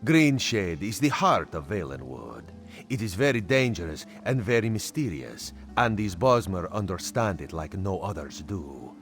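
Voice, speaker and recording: An actor playing a sly, genial cat-folk agent in a video game, speaking in a measured, theatrical way. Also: male voice, low voice, big pitch swings, a bit bright, soft background music, exaggerated voice. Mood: earnest, measured. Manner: speaks of himself in third person, measured and theatrical. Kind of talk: video game character dialogue.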